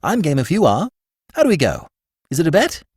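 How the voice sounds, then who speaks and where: A man voices a breezy young Englishman of the who chatters in an airy, sing-song drawl. The voice sits high and swoops wildly. The sound is dry and close. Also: huge pitch swings, a little fast, a little mellow, husky, no echo, exaggerated voice. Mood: chatty.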